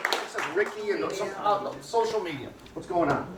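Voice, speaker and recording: A middle-aged man with a fairly high voice runs a rambling, salesy pitch, tossing questions out to the audience. The sound carries the echo of a room around him. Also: normal speed, heavy background noise. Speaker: presenter introducing his son. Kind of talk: motivational pitch to a home meeting. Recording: echoey room.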